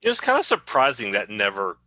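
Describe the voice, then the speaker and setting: A man's voice: fairly low pitch, huge pitch swings, fairly quick, sharp tone, no echo. A man chatting casually in an easy conversational podcast style. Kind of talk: casual chat.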